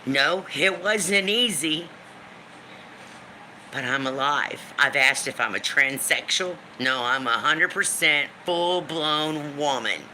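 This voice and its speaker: A woman in her fifties with a deep, smoky voice talks slowly and with hard, forceful edges on a live stream. She is blunt and emphatic, swears without pause, and hammers her points home through repetition. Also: a little hiss or hum. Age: 50s. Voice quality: deep smoker's voice. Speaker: livestream host. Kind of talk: emotional live-stream venting. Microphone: phone or webcam for a live stream.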